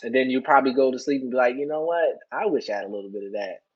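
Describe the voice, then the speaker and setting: Husky guy chatting on a podcast, rambly n earnest, says you know a lot, kinda dull lo-fi audio. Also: medium pitch, rapid-fire. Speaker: interview guest, soccer player. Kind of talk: casual podcast conversation.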